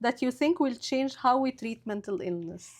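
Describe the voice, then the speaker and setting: A woman's voice: fairly high pitch, expressive, normal speed, darkish tone. A woman hosting a health podcast, reading a prepared introduction and asking interview questions in an upbeat, smiling way. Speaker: podcast host.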